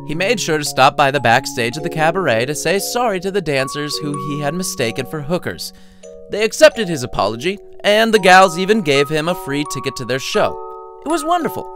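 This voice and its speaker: High-pitched male storyteller, very animated. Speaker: author reading own short story. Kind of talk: scripted audiobook narration.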